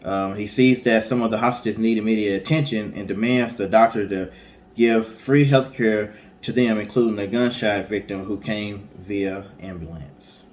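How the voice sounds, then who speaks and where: A man's voice: fairly low pitch, steady tone, moderate pace, slight background noise. A man co-hosting a casual storytelling show, speaking conversationally with informal asides. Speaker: co-host.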